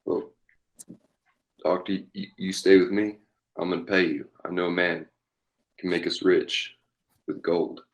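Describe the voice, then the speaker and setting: A man playing a halting, scheming army captain of the old West in a table read of a western. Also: low-pitched, fairly even intonation, rough recording. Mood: eager, scheming. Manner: halting, repeats words.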